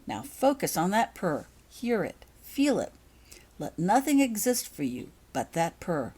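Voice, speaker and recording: A woman's voice: fairly low pitch, very animated, a little slow, a little mellow, husky, exaggerated voice. A woman voicing a kindly but firm school healer witch in a storybook reading, patiently instructing and encouraging. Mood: gently coaching.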